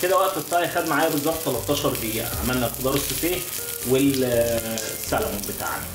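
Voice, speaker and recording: A man's voice: mid-range voice, racing, roomy sound, noisy background. A man with an Egyptian Arabic accent hosting a casual cooking demonstration in a home kitchen, explaining each step as he cooks. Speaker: cooking show host.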